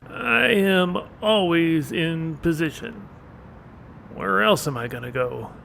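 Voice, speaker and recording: A man voicing a wry, taunting street bum and vigilante sidekick in an animated series, mock-polite and sardonic. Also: slow, exaggerated voice. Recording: clear low rumbling background noise.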